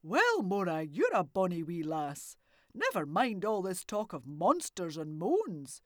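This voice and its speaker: A booming old hermit of the woods, loud and hearty, swinging his voice up and down, as a woman puts him on. The sound is close and dry. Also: huge pitch swings, unhurried, dry recording. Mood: jovial.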